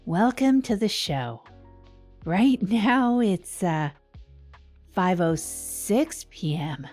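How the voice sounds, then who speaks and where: A woman's voice: mid-range voice, expressive, very slow, darkish tone. Woman acting as a wry small-town radio host lady, rambly and hesitant, voice moves around a lot, music in it. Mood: wry, reflective. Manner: hesitant, rambling, self-deprecating asides. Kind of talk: scripted comedic radio monologue.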